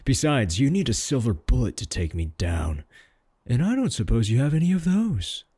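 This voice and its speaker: A man's voice: medium pitch, sing-song, moderate pace, warm tone, slight background noise. A man playing a cocky, doting werewolf in a comedic audio roleplay, mocking and boastful with a drawl. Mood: taunting. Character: cocky, doting male werewolf, taunting romantic rival.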